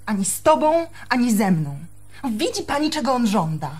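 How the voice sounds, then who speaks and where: An actress playing a proud, wary young barmaid in love in a radio play. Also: female voice, a little high, very animated, moderate pace, darkish tone, slightly rough, soft background music. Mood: dismayed, incredulous. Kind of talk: Polish radio play acting.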